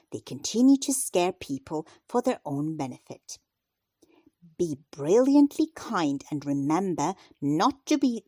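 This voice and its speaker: Woman, children's storyteller, unhurried pace, highly varied intonation, expressive scripted narration. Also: no room sound, studio quality. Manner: deliberate, expressive read-aloud delivery.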